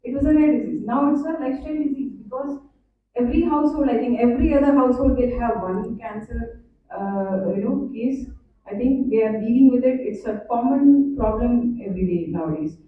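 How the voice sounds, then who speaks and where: A woman speaks earnestly at a conference. Her fairly high voice comes through thin and phone-like from a distance, with heavy hall echo and faint noise. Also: fairly quick. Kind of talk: conference talk. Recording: echoey hall over a tinny line, distant.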